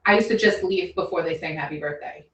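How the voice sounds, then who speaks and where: Rambling thirty-something mom. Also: female voice, fairly low pitch, fast talker, muffled recording. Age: 30s. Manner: rambling, many restarts and fillers. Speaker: guest, mother. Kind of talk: casual chat. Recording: roomy, distant mic with faint background noise.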